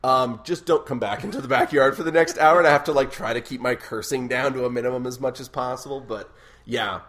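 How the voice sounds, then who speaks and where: A man hosting a casual podcast chat, with a loud, booming voice, swearing freely and laughing often. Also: fairly quick. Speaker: podcast host.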